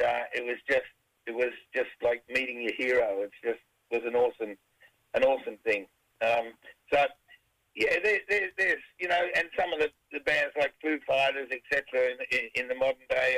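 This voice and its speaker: Middle-aged Australian man, raspy and bright-toned, mid pitch, rambling casual interviewee. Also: fairly even intonation, normal speed, poor audio. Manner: rambling, many fillers and restarts. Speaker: rock band singer.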